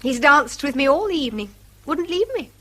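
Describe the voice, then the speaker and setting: An actress playing a weary, wistful English wife with a defiant streak, in an emphatic British radio drama style. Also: female voice, high-pitched, sing-song, a little fast, fairly bright tone. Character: weary wife Mary, wistful, quick to defy her husband.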